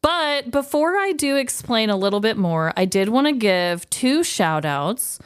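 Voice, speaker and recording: A woman's voice: on the high side, animated, measured pace, clean tone, dry recording, studio quality. A woman co-hosting a podcast in casual, chatty, informal conversation, with a giggly manner. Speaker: podcast co-host. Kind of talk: casual comedy true-crime podcast chat.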